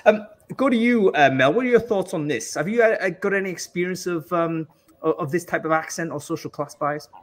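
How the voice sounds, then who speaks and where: A man's voice: high-pitched, brisk. A man from England hosting a panel discussion, talking with many restarts and fillers. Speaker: host.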